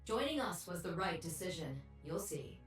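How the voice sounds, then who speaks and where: A woman's voice: lowish voice, very animated, moderate pace, echoey room. A woman playing a grave, resolute female fighter in a video game, solemn and full of warning. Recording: game audio with music behind.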